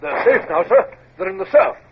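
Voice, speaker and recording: An actor playing an eager, excitable junior naval officer in a radio drama, calling out deferential reports. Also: male voice, high voice, fairly quick. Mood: excited. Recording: tinny, noisy vintage radio recording.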